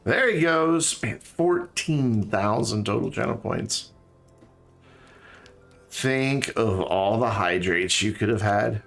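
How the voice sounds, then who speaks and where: A man's voice: fairly low pitch, expressive, slow, gravelly, a bit bright, soft background music. A tired man with a slightly scratchy throat, streaming a game and thinking aloud in casual chat with many fillers.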